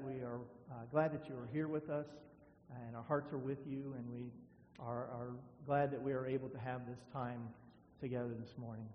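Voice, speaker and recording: A male pastor giving an informal welcome at the start of a church service. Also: medium pitch. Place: inside a church. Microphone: church microphone. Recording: muffled, echoey church hall sound.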